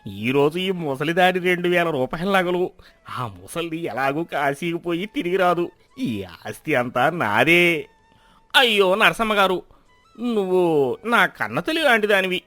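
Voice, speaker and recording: A man's voice: sits high, animated, unhurried, pushed and bright, no room sound, exaggerated voice. A man voicing a greedy, two-faced village shopkeeper in a moral story, oily and exaggerated. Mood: gloating, fawning.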